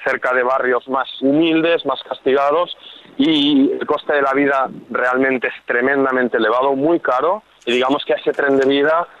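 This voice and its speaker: A man's voice: mid-range voice, fairly even intonation, a bit bright. Spanish-accented man on a muffled line. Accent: Spain Spanish. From Catalonia, Spain. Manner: measured, explanatory, frequent hesitations. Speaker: freelance journalist, guest. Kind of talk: radio interview by phone.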